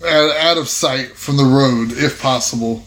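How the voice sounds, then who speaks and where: A man playing a tabletop roleplaying game, chatting casually and thinking aloud in halting, repeated phrases. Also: medium pitch, fairly bright tone, a little hiss or hum. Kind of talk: casual game-table chat, improvising in character.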